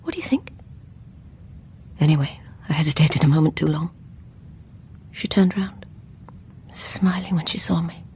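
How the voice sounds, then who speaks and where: An actress playing a guilt-ridden, menacing woman in a radio thriller, narrating and speaking in a hushed, intense, brooding way. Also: low voice, steady tone, a little slow, long pauses, a little hiss or hum. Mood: brooding, secretive. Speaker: narrator and lead character. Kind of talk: radio drama first-person narration and dialogue.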